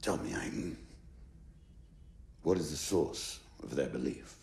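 A man playing a stern, cold middle-aged Imperial admiral in a video game, speaking in a measured, formal, commanding way. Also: a bass voice, expressive, gravelly, darkish tone, echoey room, slight background noise, heavily acted. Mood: cold, grave. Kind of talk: video game cutscene acting.

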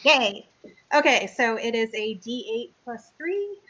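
A woman plays in a tabletop game with a bright, slightly high voice. She sounds cheerful and eager as she calls out her rolls. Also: very animated, takes it slowly. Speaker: player.

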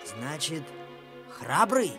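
Slow, sneering gang bully. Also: male voice, on the high side, big pitch swings, heavily acted. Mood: mocking. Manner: taunting, drawling. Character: sneering young street gang leader, mocking bully. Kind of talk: Soviet radio play acting. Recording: old radio play with music underneath.

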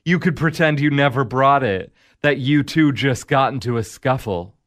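An actor playing the Devil in a radio drama, a smug, needling tempter who talks in a measured, sardonic way. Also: male voice, mid-range voice, fairly bright tone, close to an everyday voice. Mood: quietly sly.

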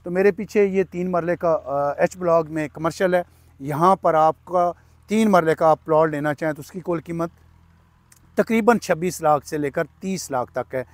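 Persuasive Pakistani man, outdoor pitch. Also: moderate pace, no echo. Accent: Pakistani Urdu. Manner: fluent, persuasive, steady listing of prices with frequent "uh" fillers. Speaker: real estate agent. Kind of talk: site-visit sales pitch to camera. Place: outdoors at an open housing plot, sunny. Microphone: lapel mic.

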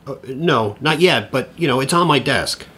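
An actor playing a flustered, needy middle-aged father in an audio play, with a husky voice and a hesitant, stammering way of talking. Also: mid-range voice, animated, normal speed, bright, forceful tone. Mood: flustered, rambling. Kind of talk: naturalistic audio play acting.

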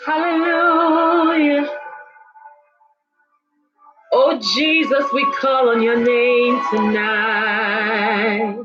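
A woman leading a congregation in prayer and worship, with slow, fervent, drawn-out phrases that slip into singing. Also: on the high side, fairly even intonation. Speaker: worship leader. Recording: dull lo-fi recording with soft music behind.

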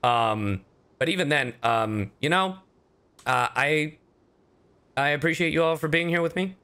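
A man's voice: on the high side, measured pace, clean tone. A man hosting a livestream, chatting casually and informally with his viewers while playing a game. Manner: animated, informal, profane. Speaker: streamer, host.

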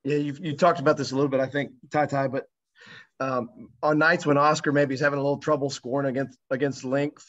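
A man's voice: medium pitch, level tone, fairly quick, a bit bright, dull audio. A male reporter asking a long, winding question with restarts on a call. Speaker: sports reporter. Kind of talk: press conference question.

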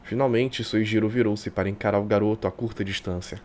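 A man with a Brazilian accent reading a visual novel aloud like a streamer, expressive and colloquial. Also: fairly low pitch, fairly quick, slight background noise. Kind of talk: dramatic live reading with casual asides. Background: game music.

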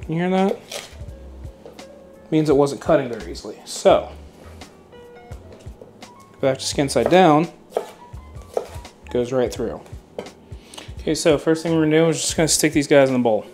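A man's voice: a little high, unhurried, pauses often, faint music behind. Laid-back man teaching a home recipe. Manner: relaxed and chatty, many fillers, mild swearing. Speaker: cooking channel host. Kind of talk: casual cooking tutorial. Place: home kitchen, indoors.

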